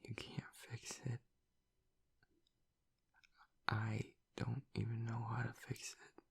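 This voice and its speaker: A guarded werewolf boyfriend, acted by a man in an intimate audio roleplay. His voice is a very husky, heavily creaking half-whisper. He speaks slowly and haltingly, vulnerable and grieving beneath a tough front. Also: medium pitch, monotone, heavily acted. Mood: guarded, reluctant. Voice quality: breathy, gravelly whisper. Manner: halting, reluctant, haltingly confessional.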